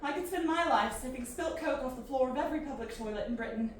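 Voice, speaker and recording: Actress as mouthy young woman, high pitched, exasperated, sci-fi audio play, really echoey and off mic. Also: fast, distant mic, natural voice. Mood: bitter, wry. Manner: blunt, exasperated, questioning. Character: outspoken, frightened young human woman, irreverent. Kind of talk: science fiction audio drama acting. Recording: very echoey, off-mic, faint noise.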